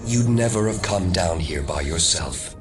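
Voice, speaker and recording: A mysterious unseen mastermind for an audio drama. The voice is deep and sounds set back from the microphone, with music underneath. It coaxes in a measured, smug, quietly menacing way. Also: male voice, animated. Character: mysterious disembodied male mastermind, calm, softly menacing. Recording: distant voice under loud music.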